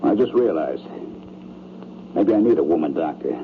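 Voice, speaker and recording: An actor playing a blunt, uneasy rich man in an old-time radio drama, with halting, defensive, streetwise speech. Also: a bass voice, lively intonation. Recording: tinny, noisy old radio broadcast.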